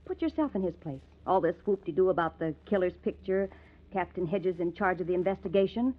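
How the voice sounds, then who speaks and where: A woman's voice: lowish voice, lively intonation, fairly quick, phone-quality sound. An actress in an old-time radio crime drama playing a man's familiar, inquisitive woman friend, with a coaxing way of talking. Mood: reasoning. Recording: thin, band-limited vintage radio recording.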